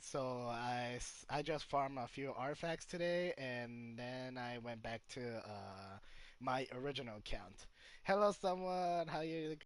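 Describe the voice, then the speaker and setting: A man chatting casually as a game streamer, with a halting, hesitant way of talking. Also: medium pitch, no echo. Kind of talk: casual livestream chat. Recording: faint music behind the voice.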